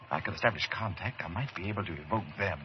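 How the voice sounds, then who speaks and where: Obsessive doctor, wildly swooping deep voice. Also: male voice, expressive. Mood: brooding. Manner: theatrical, halting, fervent. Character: obsessive, excitable male doctor hunting ghosts. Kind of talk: old time radio horror acting. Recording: dull, hissy old radio recording.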